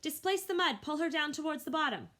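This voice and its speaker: A woman voicing a wise old female elephant matriarch who gives calm, firm, motherly commands in a storybook reading. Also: high voice, a little fast, voice pushed up. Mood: commanding.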